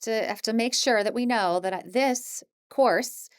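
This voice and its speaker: A woman's voice: a little high, moderate pace, clear, resonant tone, no room sound. Chatty, encouraging woman, close dry recording. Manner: chatty, encouraging, informal and self-deprecating. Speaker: audiobook narrator teaching an introductory course. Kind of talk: casual, conversational course presentation.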